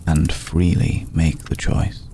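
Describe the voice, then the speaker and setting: A man playing a courteous, brooding old vampire lord in an intimate ASMR roleplay, speaking in a measured, solemn, deliberate way with long pauses. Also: monotone, warm tone, slight background noise, exaggerated voice. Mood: solemn, inviting. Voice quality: deep, gravelly. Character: courteous, brooding centuries-old male vampire lord, tender toward a mortal.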